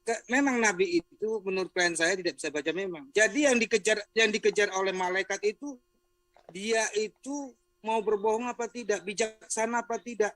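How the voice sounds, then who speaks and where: Bright high-voiced man arguing defensively. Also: moderate pace. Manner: defensive, repeating himself. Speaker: debater acting as defence advocate. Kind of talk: argumentative debate talk.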